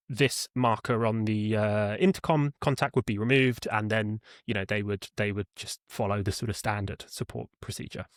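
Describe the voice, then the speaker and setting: A man's voice: lowish voice, no echo, clean studio sound. A man speaking as an interview guest, walking through how his support team works in a hesitant, explanatory, conversational way. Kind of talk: conversational product walkthrough in an interview.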